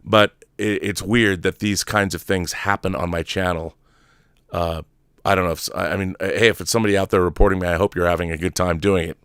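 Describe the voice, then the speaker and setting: A man's voice: deep voice, steady tone, brisk, a bit bright, clean tone, no room sound, studio quality. A man hosting a film commentary show, giving opinions off the cuff in an emphatic, rambling way with repeated and restarted phrases. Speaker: trailer editor.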